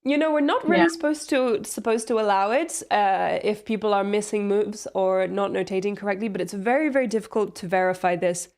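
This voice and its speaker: A woman walks through chess moves as the main commentator. Her voice sits at a middle pitch, and her delivery is chatty and explanatory, with light laughs. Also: a little fast, hardly any pauses, darkish tone.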